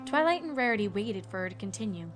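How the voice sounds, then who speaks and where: Woman narrator reading a fan story, medium pitch, music going behind her. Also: sing-song, fast. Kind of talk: scripted fan fiction narration. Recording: background music under the voice.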